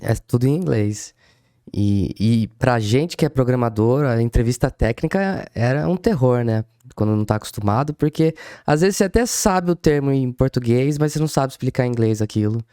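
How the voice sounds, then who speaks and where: A Brazilian man co-hosting a relaxed podcast, with a smooth, resonant, mellow voice. The sound is close and dry, and he drops in wry remarks. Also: medium pitch, fairly quick, dry recording. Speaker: podcast co-host, programmer. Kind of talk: casual podcast conversation.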